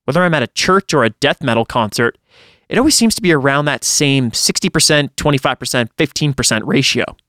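A man's voice: medium pitch, animated, brisk, pushed and bright, no echo, clean studio sound. A man reading a first-person horror story aloud in a dramatic storytelling style, as a podcast host would.

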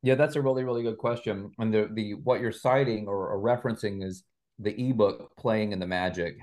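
A man's voice: low-pitched, darkish tone. A man speaking as an interview guest, explaining ideas conversationally in a reflective way, like an author discussing his work. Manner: reflective, explains through analogies and examples.

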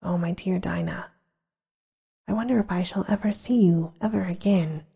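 Eager polite little girl, whispered, breathy, gravelly, put on by a woman. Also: on the low side, moderate pace, warm tone, exaggerated voice. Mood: melancholy.

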